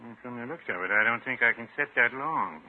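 An actor playing a dry, mild older druggist with a husky, deadpan delivery in a 1950s radio sitcom. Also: male voice, medium pitch, a little hiss or hum, close to an everyday voice. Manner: deadpan, unhurried. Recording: tinny 1950s radio recording.

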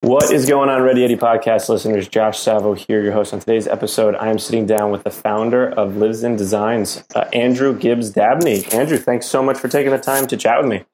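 A man's voice: lowish voice, steady tone, fairly quick, few pauses, darkish tone, a little hiss or hum. A man hosting a podcast, speaking in a casual, chatty way to listeners and his guest. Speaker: podcast host.

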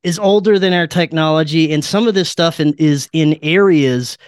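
A man's voice: fairly high pitch, normal speed, smooth, no echo, studio quality. A man talking casually and earnestly as a podcast guest, telling strange stories in an informal way. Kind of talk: casual conspiracy and paranormal talk.

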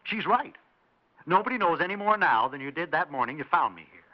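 A man's voice: fairly high pitch, big pitch swings, brisk. An actor playing a smug, evasive houseguest who needles his hosts with mock courtesy in a 1960s radio drama. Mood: challenging. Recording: dull lo-fi 1960s radio recording.

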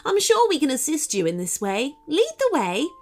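A motherly lady diplodocus, as a woman storyteller plays her. She is genteel and full of exclamation, with a high, swooping storybook voice, keen to help. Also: huge pitch swings, moderate pace, faint music behind. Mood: shocked, helpful. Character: motherly female diplodocus, shocked, willing, commanding helper.

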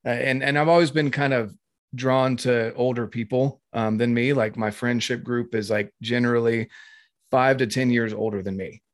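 A man's voice: mid-range voice, fairly even intonation, moderate pace, no room sound, studio quality. A man from North Carolina in casual podcast chat, talking at length with frequent laughs and fillers. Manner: chatty, laughs often, lots of fillers.

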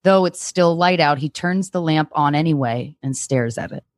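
A woman's voice: low-pitched, moderate pace, no room sound, clean studio sound. A woman comedian guesting, chatting casually and reading screenplay stage directions aloud.

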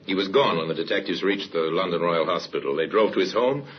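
A man acting as the solemn narrator of an old radio crime drama, grave and measured in his storytelling. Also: deep voice, lively intonation. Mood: grave, suspenseful. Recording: muffled old radio recording with hiss.

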